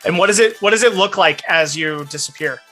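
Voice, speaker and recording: A man narrating an improvised tabletop game as game master, in a measured storytelling manner. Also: sits high, bright, forceful tone, soft background music, low-quality recording.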